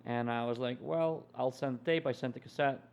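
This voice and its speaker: A man from Ukraine, a guest musician telling stories in a casual interview with a relaxed manner and many fillers. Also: mid-range voice, fairly quick, gravelly, smooth. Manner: relaxed storytelling with frequent fillers.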